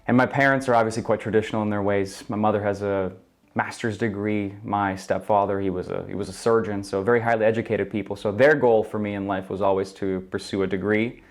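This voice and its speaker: A man in his twenties from Ukraine, answering interview questions earnestly and thoughtfully, with frequent pauses and ums. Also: on the low side, fairly even intonation. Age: 20s. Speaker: guest. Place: indoor studio.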